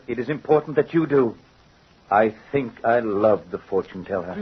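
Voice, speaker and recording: A man's voice: mid-range voice, husky, natural voice. An actor playing a guilt-ridden craftsman confessing to a judge, earnest and dramatic, in old-time radio style. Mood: sorrowful, resigned. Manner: dramatic, earnest, deliberate phrasing. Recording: muffled old radio recording.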